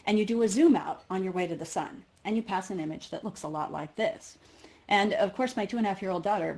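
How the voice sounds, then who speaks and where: Lower-pitched woman giving a keynote talk. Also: darkish tone. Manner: halting, thinking aloud with rephrasing. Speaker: keynote speaker. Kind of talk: conference keynote with personal anecdotes.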